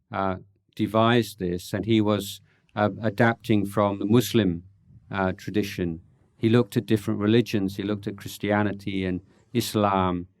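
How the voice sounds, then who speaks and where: A man, a Buddhist monk, answering questions at a meditation retreat in a thoughtful, halting way, with dry gentle humor and many pauses and ums. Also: lowish voice, creaky, no echo. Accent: English. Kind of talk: relaxed Dhamma Q&A talk.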